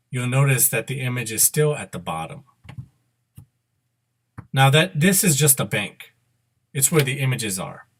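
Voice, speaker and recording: A middle-aged man narrating a step-by-step screen demo, unscripted and halting, correcting himself as he instructs. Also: medium pitch, breathy edge. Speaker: software tutorial presenter. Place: indoor room at a computer.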